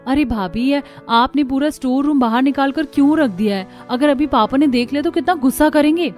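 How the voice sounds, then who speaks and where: A woman's voice: sits high, a little fast, darkish tone, natural voice. A woman voicing a demanding college-age sister-in-law in a cartoon story, casual and pushy in manner. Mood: breezy, pushy. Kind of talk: Hindi cartoon story dialogue. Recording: music behind the voice.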